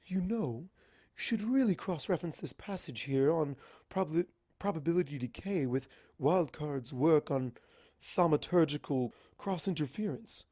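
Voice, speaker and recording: Man putting on a high-pitched regal pony princess. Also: warm tone, dry recording, studio quality. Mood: coy, flirtatious. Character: princess mare, coy, regal, defensive female pony. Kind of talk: fan fiction character voice.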